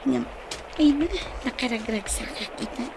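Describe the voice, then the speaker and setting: An actress as a young office woman with a fairly high voice. She is shy and quietly smitten, acted in a homemade audio drama. There is faint noise behind her. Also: normal speed, creaky. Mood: wistful, quiet. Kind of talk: amateur audio drama acting.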